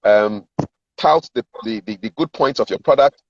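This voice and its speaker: A man's voice: fairly low pitch, moderate pace. A middle-aged man with a Ghanaian accent, explaining things as a coach in a conversational interview and acting out sample dialogue. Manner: explanatory, acts out sample dialogue, repeats words for emphasis. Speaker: communications and sales coach giving advice. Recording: roomy echo, dull lo-fi sound.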